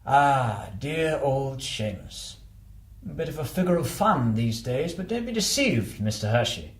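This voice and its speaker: A man's voice: mid-range voice, very animated, unhurried, reverberant. A man voicing a genial but steely colonel, courteous and clipped, in an audiobook. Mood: genial, brisk.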